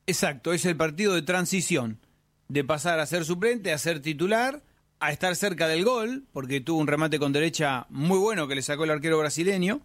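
Comentarista deportivo de voz algo aguda. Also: male voice, fairly high pitch, animated, normal speed, a bit bright, dry recording. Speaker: sports commentator. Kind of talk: conversational football analysis.

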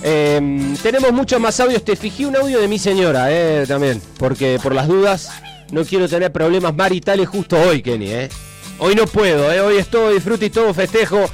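Man, Rioplatense accent, radio host, high voice, playful, excitable, faint music behind. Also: moderate pace. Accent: Rioplatense Spanish. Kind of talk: excited live radio call-in show.

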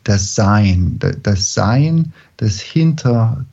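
A man giving a lecture who speaks haltingly and searches for words with many restarts. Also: on the low side, lively intonation, dark tone, lo-fi sound. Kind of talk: online presentation talk.

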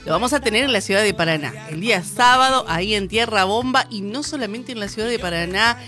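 Bright-voiced Argentine woman radio host. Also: mid-range voice, fast talker, soft background music. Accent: Argentine Spanish. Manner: chatty, warm, with many fillers like "eh" and "este". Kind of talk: radio interview.

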